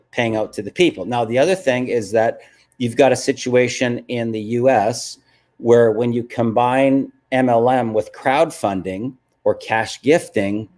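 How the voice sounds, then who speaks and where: Man, mid-pitched voice, live stream host, emphatic, keeps asking "okay?". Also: a little mellow. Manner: emphatic, repeats "okay?" to check in with viewers. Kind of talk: live stream chat answering viewer comments.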